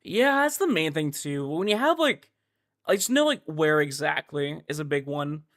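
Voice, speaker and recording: A young man livestreaming a game, chatting casually in a rambling, halting way with animated emphasis. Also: a little high. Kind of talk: casual livestream chat over gameplay.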